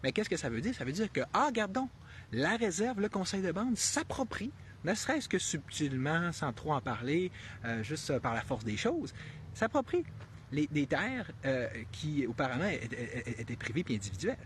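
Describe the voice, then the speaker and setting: A man's voice: a little high, big pitch swings, moderate pace, slight background noise. A man with a Québécois accent giving unscripted live commentary, rambling and thinking aloud with many restarts and fillers, earnest and given to emphatic rhetorical questions. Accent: Québécois French. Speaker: live streamer.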